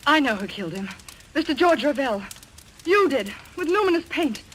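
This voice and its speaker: A woman acting in an old-time radio mystery as a sharp, agitated young woman, insistent and accusatory. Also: big pitch swings, moderate pace. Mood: accusing. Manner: insistent, accusatory, high-strung. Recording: noisy old radio recording.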